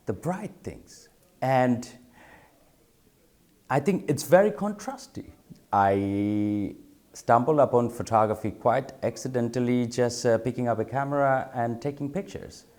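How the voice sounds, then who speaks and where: Slow, halting man. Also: medium pitch, expressive, darkish tone. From Bangladesh. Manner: thoughtful, halting, repeats words while searching for them. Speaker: photographer. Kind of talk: reflective interview talk.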